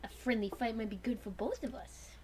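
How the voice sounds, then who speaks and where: Woman doing a cocky, low-pitched little robot guy. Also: brisk, heavy background noise, lightly acted. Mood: taunting. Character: cocky, teasing small male robot in fan fiction.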